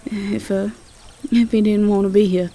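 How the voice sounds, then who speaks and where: A woman playing a tender, easily overwhelmed Southern belle girlfriend in a roleplay audio drama, with a Southern US accent and a halting, breathy delivery. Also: mid-range voice, level tone, lightly acted. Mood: overwhelmed, tearful. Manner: soft, halting, repeats words.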